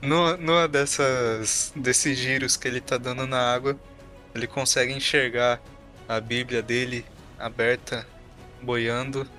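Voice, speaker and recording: A man's voice: mid-range voice, fairly even intonation, measured pace, pushed and bright, faint music behind. A man with a Brazilian accent improvising his game character's actions in an explanatory way, often repeating himself. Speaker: tabletop game player.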